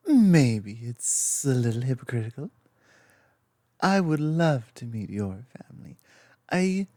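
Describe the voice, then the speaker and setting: Woman acting a slow, low-voiced loving lamia girlfriend. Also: no room sound, heavily acted. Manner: soft, unhurried, hesitant pauses, tender. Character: loving, gently dominant lamia girlfriend, part snake. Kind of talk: ASMR roleplay, intimate one-sided conversation.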